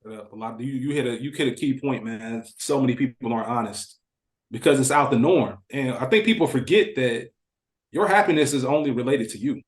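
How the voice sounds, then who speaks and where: An American man with a medium-pitched voice talks in a roomy, echoing space. He is a podcast panelist chatting casually, with a slangy, plainspoken delivery. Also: a little fast. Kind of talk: casual chat. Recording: echoey room.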